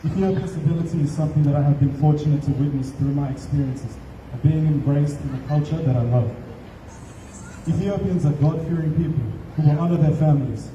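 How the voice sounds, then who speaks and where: Young man at a rally, measured and solemn, dark tone, roomy distant sound. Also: a little high, a little hiss or hum. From Australia. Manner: solemn, measured, read from notes. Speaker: rally speaker. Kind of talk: prepared patriotic speech. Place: outdoor rally. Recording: distant, echoey, dull outdoor recording.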